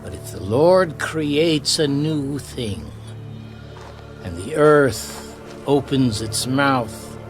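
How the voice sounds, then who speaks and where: A man performs a solemn prophet and leader in a scripture drama. He speaks very slowly, with weight and authority, as if addressing a whole people. His pitch is mid-range, and music plays behind him. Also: lightly acted. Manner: slow, weighty, declamatory.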